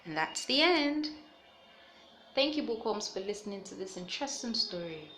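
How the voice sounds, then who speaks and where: A woman's voice: mid-range voice, big pitch swings, moderate pace, fairly bright tone, slight background noise. A woman hosting a children's read-aloud, narrating a picture book expressively for young listeners. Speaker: storytelling host.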